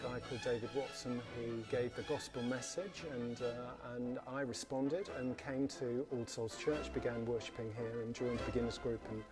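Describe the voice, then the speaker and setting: Older man, mid-pitched voice, conversational interview storytelling, reminiscing, clear music behind. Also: fairly even intonation, normal speed, hardly any pauses. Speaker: orchestral musician giving testimony. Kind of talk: interview storytelling for a promo video. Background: music under the voice.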